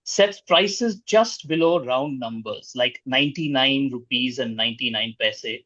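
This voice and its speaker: Guy hosting a quiz, mid-pitched voice, formal wording, dry but kinda dull audio. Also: a little slow, fairly bright tone, no echo. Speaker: quiz host reading questions. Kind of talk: quiz question reading, moderating.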